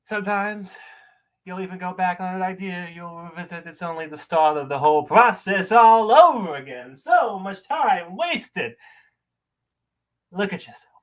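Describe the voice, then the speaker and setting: Bitter, scornful man played by a male actor, higher-voiced, berating someone emphatically in an audition monologue. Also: expressive, unhurried. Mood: disappointed, accusing.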